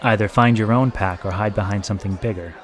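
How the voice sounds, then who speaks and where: A man playing a calm, cryptic upperclassman who speaks evenly and quietly in an audio book drama. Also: on the low side, faint music behind, a faint crowd, lightly acted. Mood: quiet warning. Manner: even, quiet, measured. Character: calm, cool, cryptic older male high school student. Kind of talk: audio book character acting.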